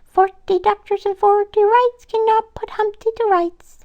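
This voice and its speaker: A woman's voice: extremely high pitch, steady tone, a little slow, a little mellow, dull audio, voice pushed up. A woman playing a cheeky, mischievous young male squirrel who chants riddles in an impudent sing-song for a storybook. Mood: cheeky, taunting.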